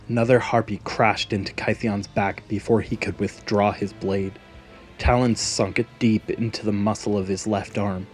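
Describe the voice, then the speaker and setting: A man narrating a scripted fantasy story in a dramatic storytelling manner. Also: lowish voice. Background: background music.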